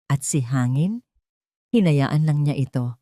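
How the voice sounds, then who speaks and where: A woman's voice: low voice, animated, a little slow, mellow, no echo, studio quality. A woman with a Filipino accent narrating a scripted story in an emotive, theatrical way. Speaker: storyteller narrating an audio drama.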